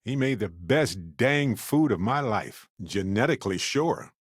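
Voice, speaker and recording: An actor playing a wry, good-humored space explorer companion in a video game, talking in an expressive, conversational way. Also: male voice, on the low side, measured pace, no room sound, studio quality. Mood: earnest. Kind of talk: video game character voice lines.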